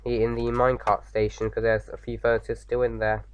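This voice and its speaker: Man with a slightly croaky sore throat. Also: mid-range voice, completely flat delivery, moderate pace, no echo, dull audio. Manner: rambling, many fillers and restarts. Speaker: gaming video host. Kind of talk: casual let's-play commentary.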